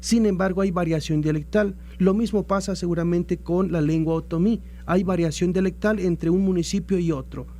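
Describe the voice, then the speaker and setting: A man's voice: sits high, fairly even intonation, moderate pace, hardly any pauses, mellow. A Mexican man giving formal, earnest explanations as an institutional guest in a radio interview, often repeating phrases as he goes. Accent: Mexican Spanish. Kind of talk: formal interview answer about institutional plans.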